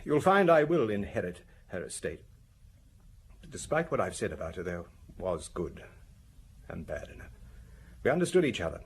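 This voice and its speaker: Over a faintly noisy recording, a troubled British man under questioning in a radio drama. He is tipsy and confessional, halting as he goes, and his fairly low voice swings expressively. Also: very animated. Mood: maudlin, confessional. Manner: hesitant, halting, rambling. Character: troubled, tipsy, confessional male murder suspect.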